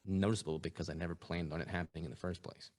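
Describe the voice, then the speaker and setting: A man's voice: sits low, fairly even intonation, racing, a little mellow. A man speaking conversationally as a guest in a podcast interview.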